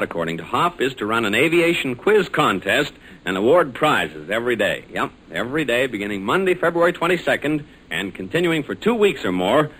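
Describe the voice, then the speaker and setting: A man's voice: medium pitch, bright, forceful tone, poor audio. An American man announcing a 1940s radio serial in a dramatic, punchy, salesmanlike scripted style. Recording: vintage radio recording with faint noise.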